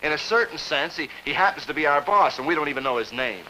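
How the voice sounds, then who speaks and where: Bright, flustered clerk, hesitant and exasperated. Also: male voice, a little high, animated, fast, slight background noise, poor audio. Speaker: office worker.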